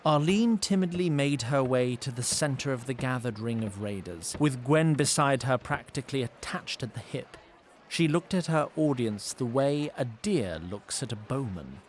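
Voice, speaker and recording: An actor playing a wry storyteller, reading scripted fantasy audio drama narration. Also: male voice, medium pitch, lively intonation, normal speed, a little hiss or hum, close to an everyday voice. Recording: clear music behind.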